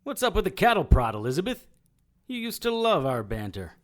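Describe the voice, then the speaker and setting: A sardonic, wisecracking detective played by a man for a noir audio drama. His delivery is animated and swings widely in pitch, with a dry, sarcastic edge to both narration and banter. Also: brisk, heavy background noise. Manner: wry, sarcastic. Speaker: lead character and narrator.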